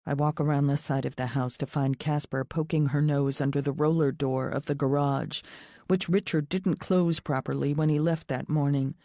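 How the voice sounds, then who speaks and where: A woman's voice: low-pitched, steady tone, moderate pace, dark tone, dry recording, studio quality. A woman reading a first-person thriller audiobook as scripted narration in the present tense.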